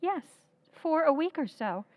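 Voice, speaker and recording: An actress playing Sally, a composed elder sister who speaks calmly and firmly in a radio drama. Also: female voice, high voice, measured pace, no echo, dull audio. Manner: calm, pleading then firm. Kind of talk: 1940s radio acting.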